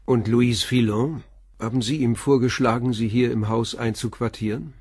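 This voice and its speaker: An actor playing a calm police inspector who asks short, probing questions in a radio drama. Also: male voice, medium pitch, normal speed, husky, no room sound, clean studio sound, close to an everyday voice. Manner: brief, quiet, probing questions. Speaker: detective questioning a witness.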